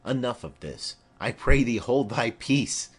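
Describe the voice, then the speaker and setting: Guy reading as a bossy noble mom in shakespeare, formal, slow, lively pitch. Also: breathy edge. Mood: impatient. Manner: formal, imperative. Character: commanding noblewoman mother, formal and insistent.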